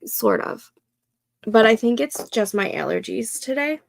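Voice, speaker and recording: A congested woman in her thirties chats unhurriedly while she works on her planner. Her voice has a touch of fry and sounds a little nasal, close and dry on the mic. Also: on the high side, lively intonation, no echo. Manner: slow, chatty, talks to herself while setting up a planner. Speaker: co-working livestream host. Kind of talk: casual chat while working.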